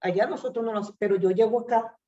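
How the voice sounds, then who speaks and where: A woman's voice: medium pitch, very fast, mellow, recorded from a distance. A woman from Venezuela, giving a hesitant, rambling personal testimony full of fillers. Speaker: church member. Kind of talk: personal testimony in a group discussion. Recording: echoey room, off-mic, muffled.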